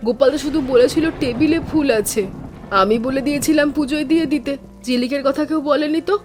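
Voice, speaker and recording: A caring older village aunt played by a woman speaks in a fairly high, tender voice, with music playing underneath. Her delivery is measured and homely, like an affectionate elder in a cartoon tale who frets over her family. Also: close to an everyday voice. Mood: troubled, reflective. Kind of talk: animated story dialogue.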